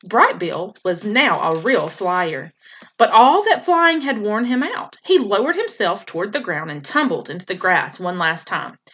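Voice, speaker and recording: Expressive woman reading a children's novel. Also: mid-range voice, a little slow, husky. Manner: expressive storytelling with occasional slips and restarts. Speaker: teacher.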